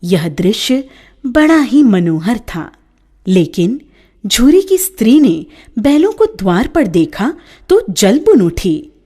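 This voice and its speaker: A woman narrating a village story in a measured, expressive way. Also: on the high side, breathy edge.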